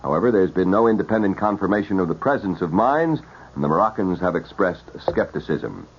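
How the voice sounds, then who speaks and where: Male 1970s radio news anchor, slightly rough husky tone, formal measured read, muffled vintage broadcast sound. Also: low voice, animated. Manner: formal, measured announcer delivery. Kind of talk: 1970s scripted radio newscast.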